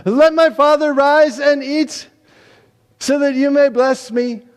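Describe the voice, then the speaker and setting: A man playing a cheated elder son, loud, bitter and pleading, in a dramatic scripture reading. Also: extremely high pitch, fairly even intonation, takes it slowly, voice pushed up. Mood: eager. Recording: reverberant church hall.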